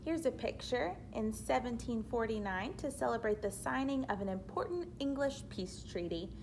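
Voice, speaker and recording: A woman reading a children's book aloud expressively, pausing to explain things simply like a teacher. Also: a little high, animated, creaky, noisy background. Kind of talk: read-aloud storytelling with explanatory asides for children.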